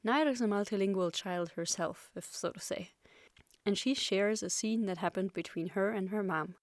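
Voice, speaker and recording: A woman's voice: mid-range voice, moderate pace, no room sound. Woman narrating a podcast, dry sound. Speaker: podcast host. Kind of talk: scripted narration.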